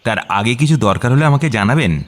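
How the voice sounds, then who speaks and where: An actor playing a middle-aged, deferential and discreet estate manager, polite and obliging, in a radio drama. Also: male voice, mid-range voice, expressive, a little fast, close to an everyday voice. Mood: courteous. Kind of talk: radio audio drama acting.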